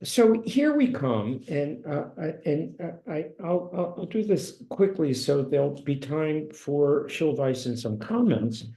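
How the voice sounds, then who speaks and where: A man in his seventies teaching a course, talking in a halting way with many restarts and fillers, and reading passages from a book aloud. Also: fairly high pitch, animated, dark tone. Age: 70s. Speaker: course instructor. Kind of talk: lecture with read-aloud passages.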